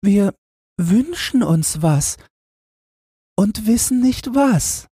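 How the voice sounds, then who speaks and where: A man's voice: sits high, lively intonation, drawn-out words, mellow, slightly rough, dry recording, studio quality, pitched above the performer's own voice, full character voice. A man voicing a sad young royal child from a fairy tale, innocent and plaintive.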